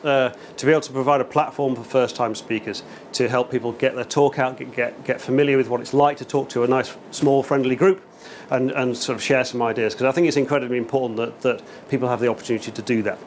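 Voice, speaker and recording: A man's voice: mid-range voice, a little hiss or hum. A middle-aged man with a chatty, upbeat manner giving a short lightning talk to a meetup audience in a small venue. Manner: chatty, upbeat, many fillers and restarts. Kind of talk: casual conference talk. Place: small event venue indoors, in front of an audience.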